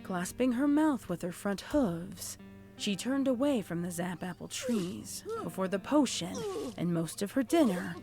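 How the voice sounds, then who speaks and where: A woman's voice: mid-range voice, expressive, measured pace. Woman narrating a story over music. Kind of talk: scripted audiobook-style horror fan fiction narration.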